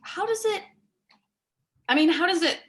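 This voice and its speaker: A woman acting a blunt, nervously polite character in a naturalistic stage reading, with a halting, dry, tactless way of talking. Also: very high voice, animated. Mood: probing, awkward. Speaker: amateur or local theatre actor.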